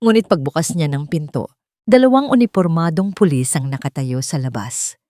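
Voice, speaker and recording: A woman storyteller with a fairly low voice delivers scripted drama narration. Her phrasing is deliberate and dramatic. The sound is close and dry. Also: expressive, dark tone, no echo.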